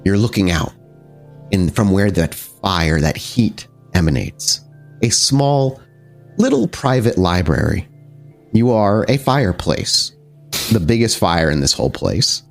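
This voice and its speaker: Man, slightly deep voice, game master, descriptive horror-game narration, halting word searches, background music. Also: sing-song, vocal fry.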